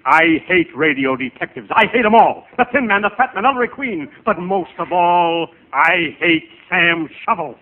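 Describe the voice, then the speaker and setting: High-pitched blustering gunman. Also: moderate pace, few pauses. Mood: menacing. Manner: menacing, blustering threats. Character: angry gunman villain who hates radio detectives. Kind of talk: 1940s radio comedy acting. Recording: noisy, muffled old radio recording.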